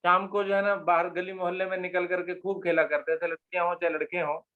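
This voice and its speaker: A man's voice: high-pitched, little pitch movement, brisk. A man hosting a casual group discussion, politely prompting guests to share old memories. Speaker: discussion host. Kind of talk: casual group chat on a call. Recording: dull lo-fi call audio.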